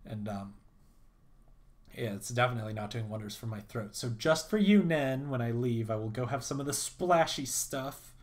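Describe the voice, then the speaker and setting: A male streamer with a raspy voice, chatting casually and rambling between reading scenes. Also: medium pitch, normal speed. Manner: rambling, self-deprecating. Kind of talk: casual livestream chat.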